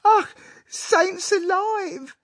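A man voicing a sentimental, flustered mother with tearful, drawn-out delivery in a story reading. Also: very high-pitched, a bit bright, dry recording, clean studio sound, voice pushed up, full character voice.

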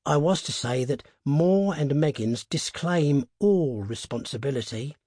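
A man voicing a formal company messenger who delivers grim news in a measured, official manner in a storybook reading. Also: on the high side, animated, mellow, husky, dry recording, clean studio sound, voice pushed up. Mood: formal, uneasy.

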